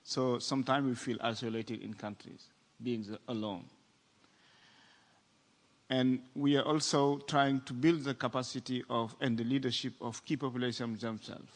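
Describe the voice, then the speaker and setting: Senegalese guy with a heavy french accent, slow and deliberate, echoey big hall. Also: mid-range voice, fairly even intonation. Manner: deliberate, with fillers and pauses. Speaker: executive director of an NGO. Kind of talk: conference panel talk. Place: large conference hall. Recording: reverberant conference hall.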